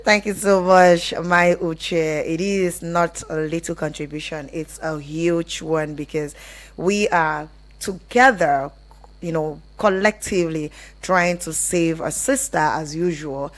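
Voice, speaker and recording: A woman's voice: low-pitched, slow pace. A woman with a Nigerian accent hosting a live relationship call-in show on radio.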